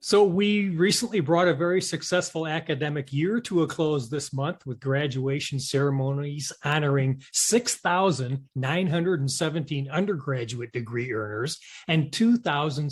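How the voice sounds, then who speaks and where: Male interview host, somewhat high-pitched, delivering scripted radio-style setups and questions to a guest.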